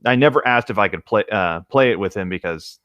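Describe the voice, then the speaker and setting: Man, slightly deep voice, casual co-host, informal story reading, conversational. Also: expressive, a little fast, fairly bright tone, no room sound. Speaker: co-host reading online stories.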